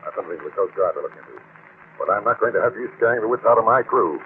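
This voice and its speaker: A firm, doubting ship's captain in a low voice. He speaks in the manner of 1940s radio drama, through a thin, phone-like recording with some hiss. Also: expressive, very fast. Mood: stern. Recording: tinny, noisy old-time radio recording.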